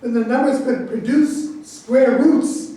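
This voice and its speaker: A man acting a high-voiced scornful debunker, echoey. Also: slow pace, dark tone. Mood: scornful, argumentative. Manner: dismissive, argumentative, sardonic. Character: scornful, argumentative debunker in a mock academic dispute. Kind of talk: staged play reading. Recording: very echoey room, far from mic.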